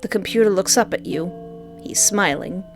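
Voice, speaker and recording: A woman reading a visual novel aloud, with playful, animated joking asides between the narration. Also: medium pitch, moderate pace, faint music behind. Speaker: let's play streamer.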